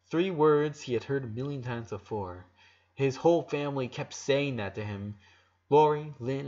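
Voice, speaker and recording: A man narrates a dramatic story in a mid-range voice. His delivery is emotive and now and then he stumbles and restarts a word. The recording is a little dull and lo-fi. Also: mid-range voice. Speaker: narrator reading fan fiction aloud.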